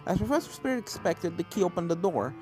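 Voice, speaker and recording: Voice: medium pitch, animated, quick pace, a little mellow, faint music behind. A narrator reading a scripted fan fiction story aloud.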